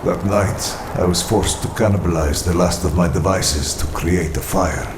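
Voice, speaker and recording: A man playing a proud, brooding supervillain, narrating his origin in a grave, haughty, measured way. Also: low voice, noisy background, natural voice. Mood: proud, grim. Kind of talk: scripted dramatic first-person narration. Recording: loud music under the narration.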